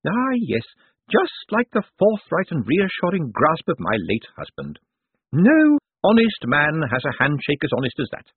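Man doing a high swooping feisty old lady. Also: wildly swooping pitch, measured pace, husky, no room sound, phone-quality sound, heavily acted. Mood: teasing, gleeful. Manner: direct, gleeful, imperious.

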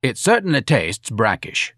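Pompous, indignant grown son, very animated. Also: male voice, mid-range voice, a little slow, husky, no echo, clean studio sound, pitched above the performer's own voice, exaggerated voice. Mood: haughty indignation. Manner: pompous, over-formal diction.

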